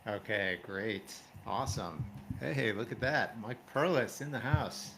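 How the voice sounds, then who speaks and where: A man's voice: fairly low pitch, unhurried, vocal fry, noisy background. A man hosting a casual livestream, friendly and chatty, laughing often. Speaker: livestream host. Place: home, now living in Spain.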